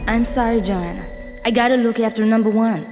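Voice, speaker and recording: A woman's voice: fairly high pitch, brisk, natural voice. A woman playing a cool, self-serving mission handler with a wry, laid-back way of talking in a video game. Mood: cold, mocking. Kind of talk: video game cutscene acting. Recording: music behind, some hall reverb.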